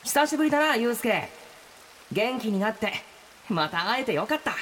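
A man's voice: extremely high pitch, very animated, normal speed, a bit bright, full character voice. An actor playing a friendly, brash boy rain spirit in a radio drama, with casual, boyish speech. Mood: tender, loyal.

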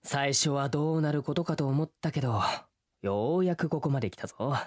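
A man's voice: fairly high pitch, animated, a little fast, darkish tone, lightly acted. A man playing a young folktale hero in a comedic radio drama, casual and slangy, with blunt straight-man retorts. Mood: spirited.

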